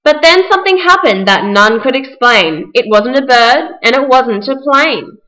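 A woman with a fairly high voice narrates a children's storybook. She reads scripted rhyming verse as the narrator of a puppet show. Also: expressive, moderate pace, bright, forceful tone, low-quality recording.

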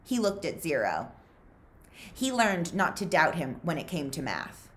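An American woman reads a story aloud to young listeners in a slightly low voice. Her delivery is very lively and melodic, with dramatic emphasis on key words. She takes her time, speaking slowly and deliberately. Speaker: librarian reading aloud. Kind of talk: expressive children's audiobook-style read-aloud. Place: small quiet living room with bookshelves.

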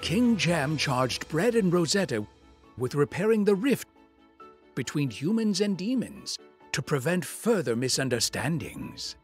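An actor playing a loyal, fussy elderly demon attendant and storyteller in a video game. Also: male voice, on the high side, very animated, a little slow. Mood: narrating. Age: older. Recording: music behind the voice.

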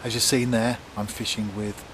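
A middle-aged man talks casually to camera at a lakeside, explaining things in a measured, unhurried way as an angler. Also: fairly low pitch, little pitch movement. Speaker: angling vlogger. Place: outdoors at a lakeside. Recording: outdoor camera recording with wind noise.

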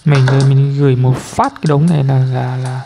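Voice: mid-range voice, level tone, a little fast, creaky, background noise. A person giving casual, exclamatory commentary as host of a gameplay video. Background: music.

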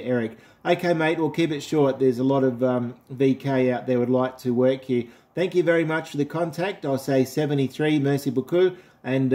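A man's voice: mid-range voice, dark tone. A man with an Australian accent chatting casually as an amateur radio operator, indoors at his set. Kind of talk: casual ham radio contact.

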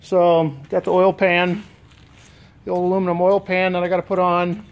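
An American man with a voice pitched a little above average talks in a casual, unscripted way. He sounds like a hobbyist restorer showing his work, pausing hesitantly as he thinks aloud. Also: flat delivery, lo-fi sound. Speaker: DIY boat restorer and vlogger. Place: garage with concrete floor. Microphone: handheld camera, operator behind it.

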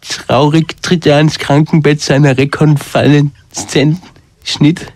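Male radio narrator, mock-solemn, slightly high voice, unhurried delivery. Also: steady tone, darkish tone, no echo. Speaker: radio comedian as narrator and director of a radio play. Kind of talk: slow, solemn scripted narration with curt studio directions.

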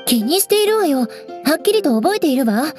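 A woman's voice: high-pitched, sing-song, fairly quick, a little mellow, faint music behind. A woman playing a proud, prickly young noblewoman knight in an anime game, composed and haughty. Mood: teasing, proud. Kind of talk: Japanese anime game voice acting.

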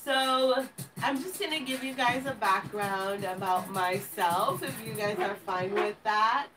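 An American woman around thirty hosts a casual livestream, talking at a slow, unhurried pace. She is a little away from the microphone in a home kitchen, with music clearly playing behind her. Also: medium pitch, far from the mic. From Los Angeles, United States. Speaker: video channel host. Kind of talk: casual livestream chat to viewers. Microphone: camera a few feet away. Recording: roomy pickup away from the mic, music behind.

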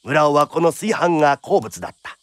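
A man's voice: fairly high pitch, expressive, moderate pace, a bit bright, no echo. A man narrating a period radio drama like a theatrical storyteller.

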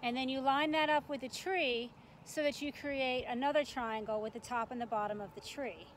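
A woman's voice: high voice, steady tone, normal speed, low-quality recording. A middle-aged American woman giving an informal, plain-spoken instructional demonstration outdoors in a park. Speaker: extension forester, instructor. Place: outdoors in a grassy, tree-lined park. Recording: outdoor ambient noise.

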